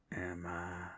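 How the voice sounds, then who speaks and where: Male actor as cursed captive werewolf for audio roleplay: gravelly and taunting, low-pitched, swinging dramatically between whispers and outbursts. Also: exaggerated voice. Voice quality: gravelly, husky, often breaking into whispers. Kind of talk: ASMR audio roleplay acting.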